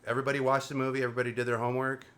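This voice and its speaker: A man's voice: medium pitch, little pitch movement, racing, a bit bright. A man hosting a casual panel chat over a video call, joking and rambling. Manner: jokey, rambling, self-mocking. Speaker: host of a panel show.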